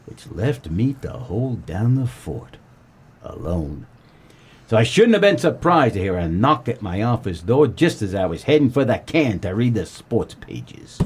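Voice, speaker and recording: Man playing a husky wisecracking noir private eye. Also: on the low side, unhurried, exaggerated voice. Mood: wry. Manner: wry, deadpan, colloquial. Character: wisecracking, world-weary male 1940s private eye. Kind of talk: comedic noir radio play narration.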